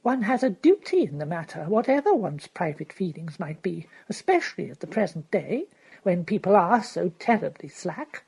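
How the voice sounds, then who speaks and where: A woman's voice: on the low side, sing-song, normal speed, creaky, a little mellow, breathy edge, heavily acted, poor audio. Woman doing a prim, pious matron. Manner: prim and preachy.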